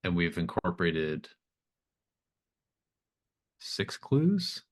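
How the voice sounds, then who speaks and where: A man with a low voice runs a tabletop roleplaying game. He talks casually and haltingly, thinking aloud and checking in with his players as he goes. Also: sing-song. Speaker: game master of a tabletop RPG. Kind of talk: casual, unscripted game running.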